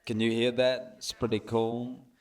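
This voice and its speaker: A British man speaking as a panelist, telling his personal story to an audience in a halting, reflective way. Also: medium pitch, completely flat delivery. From Britain.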